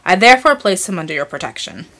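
A woman voicing a calm, authoritative father in a storybook reading. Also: lowish voice, fast talker. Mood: kindly.

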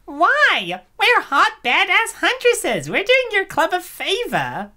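A woman's voice: very high voice, huge pitch swings, slow pace, pushed and bright, full character voice. A woman voicing a brash, bubbly young party girl in a comic dub, exuberant and gushing. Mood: indignant, cocky.

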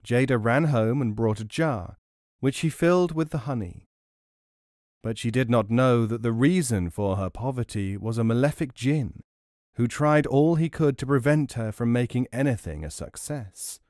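Guy reading an audiobook folk tale, measured n expressive, very dry close recording. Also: mid-range voice, dry recording. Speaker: audiobook narrator. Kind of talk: scripted storybook narration.

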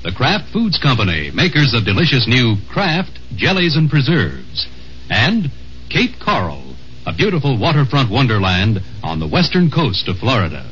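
A man's voice: medium pitch, wildly swooping pitch, normal speed, a bit bright, slight background noise. A man with an American accent announcing and reading adverts in a 1950s radio style. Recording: muffled old radio broadcast, faint music.

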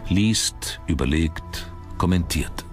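A man reading scripted documentary narration with measured, dramatic phrasing. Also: low-pitched, faint music behind.

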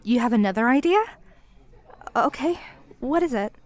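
A woman's voice: on the high side, animated, normal speed, close to an everyday voice. A woman playing a clumsy, flustered young barista who stammers and apologizes in an audio roleplay. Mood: shy, hopeful. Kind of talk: ASMR roleplay acting.

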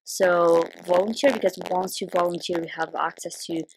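A young Brazilian woman, a native Portuguese speaker with a Brazilian accent, chatting as a podcast guest and pausing often with ums and restarts. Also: fairly low pitch, steady tone, gravelly, no echo, low-quality recording. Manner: hesitant, many ums and restarts. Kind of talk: casual interview chat.

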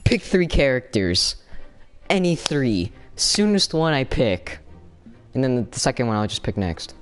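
A young man chatting casually while he streams a fighting game, excitable, with sudden yelps and laughs. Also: mid-range voice, measured pace, clear, resonant tone, soft background music. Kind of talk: casual gameplay commentary. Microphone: no camera, desk mic.

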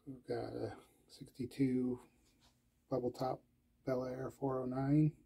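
A man casually narrating a tour of his collection, listing items in a slow, low-key way with pauses and fillers. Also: flat delivery, creaky, dark tone, husky, poor audio.

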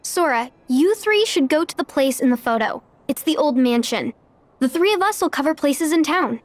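A woman playing a kind, sensible, gently teasing teenage girl in an animated video game. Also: sits high, moderate pace, no room sound. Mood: helpful.

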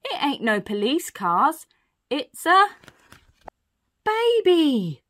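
A cheerful, kindly burglar from a children's storybook, acted out by a woman. He draws his words out with loud emphasis and rough working-class grammar. His pitch is raised and swoops about in a lively way. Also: no room sound. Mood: puzzled. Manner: drawn-out, emphatic, ungrammatical working-class speech. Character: gruff, cheerful, kindly male cockney burglar.

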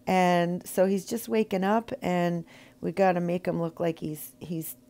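A low-voiced woman in middle age narrates her painting tutorial as a voiceover. Her tone is mellow and dark, and a cold she is getting over still shows in her voice. She talks in a loose, chatty way, full of ums and uhs. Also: steady tone, measured pace. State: recovering from a cold. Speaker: rock painting tutorial host. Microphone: voiceover mic.

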